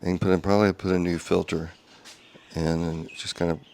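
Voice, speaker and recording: Quiet, flat-voiced man with gravelly lows. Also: moderate pace, a little hiss or hum. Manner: quiet monotone talk with many ums and restarts. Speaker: home car restorer. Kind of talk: casual workshop update video. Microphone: small clip-on wireless mic.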